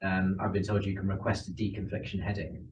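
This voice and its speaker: A man with a lowish voice talks quickly and casually, a little hesitant, as a class attendee. He is some way from the microphone in an echoey room. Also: level tone, lo-fi sound. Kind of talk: casual, hesitant question from the floor. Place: indoor ground school classroom. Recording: distant, echoey, faintly noisy room recording.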